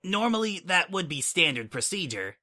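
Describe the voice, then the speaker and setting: A man's voice: sits high, sing-song, moderate pace, sharp tone, pitched above the performer's own voice, exaggerated voice. Man voicing a smug, flippant mare spy. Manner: flippant, casual and mocking. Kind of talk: fan dramatic audiobook reading.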